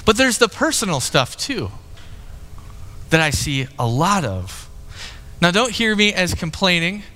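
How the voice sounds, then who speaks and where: A man's voice: high-pitched, big pitch swings, unhurried, bright, forceful tone, long reverb tail, slight background noise. A man in his thirties preaching a sermon in a candid, conversational way, often restarting his sentences. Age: 30s. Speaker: pastor.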